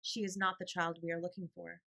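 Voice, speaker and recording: A woman's voice: on the low side, little pitch movement, a little fast, creaky, bright, forceful tone. Woman as a cheery, kindly family father. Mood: matter-of-fact. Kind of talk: storybook character voice.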